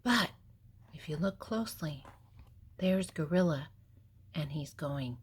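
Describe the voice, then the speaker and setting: An older woman reads a picture book to small children at a very leisurely pace. Her voice is husky and a bit low. She explains things gently and simply, leaving pauses as she goes. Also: expressive. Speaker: storybook reader and host. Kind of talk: reading a picture book aloud to young children.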